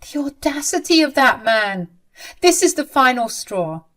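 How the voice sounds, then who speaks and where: A woman's voice: high-pitched, very animated, unhurried, fairly bright tone, heavily acted. A woman voicing a young bride who is protective and indignant, speaking emphatically. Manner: emphatic and outraged.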